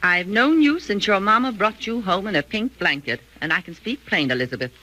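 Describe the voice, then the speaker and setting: An actress playing a blunt, protective older housekeeper, plain-spoken and disapproving, in a 1940s radio drama. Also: female voice, medium pitch, expressive, brisk, bright, forceful tone, low-quality recording. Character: blunt, protective old family housekeeper woman.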